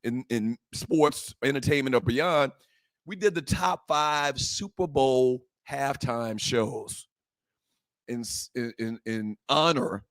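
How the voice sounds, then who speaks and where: Fervent, emphatic sports talk host. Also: male voice, mid-range voice, slow pace, no room sound. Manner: fervent, emphatic, repeats phrases, rises to shouting. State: slightly hoarse, voice worn from shouting. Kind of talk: impassioned sports talk monologue.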